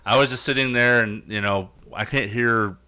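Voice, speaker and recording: A man co-hosting a casual podcast, telling stories in a dry, quick way. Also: medium pitch, fairly even intonation, sharp tone, clear, resonant tone. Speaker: podcast co-host. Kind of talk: casual chat among friends.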